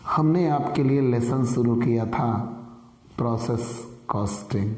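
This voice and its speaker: Hoarse male tutor in an echoey room. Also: medium pitch, dark tone. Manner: earnest, addresses viewers as dear friends. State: hoarse, sore throat. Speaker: commerce tutor. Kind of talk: online lecture.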